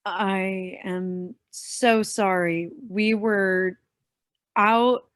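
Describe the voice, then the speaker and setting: A woman's voice: medium pitch, fairly even intonation, extremely slow, fairly bright tone, dry recording, clean studio sound. A woman playing a tough, wary young vigilante in a staged play reading. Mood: apologetic.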